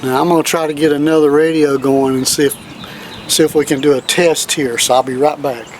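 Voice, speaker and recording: Folksy man, higher-pitched, noisy outdoors. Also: steady tone, a little fast. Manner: folksy, informal grammar. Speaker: host of a do-it-yourself radio video. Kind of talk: casual hands-on demonstration. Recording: clear outdoor background noise with vehicles. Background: outdoor ambience, vehicle noise.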